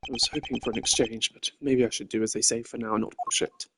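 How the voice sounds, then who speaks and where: A man's voice: medium pitch, steady tone, quick pace, noisy background. A man streaming a video game, reading the dialogue aloud theatrically and adding casual asides. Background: game music.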